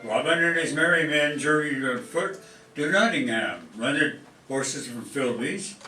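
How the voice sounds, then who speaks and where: A man giving steady, plain scripted narration in a live stage radio play. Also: on the high side, unhurried, faint music behind. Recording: roomy hall with faint noise.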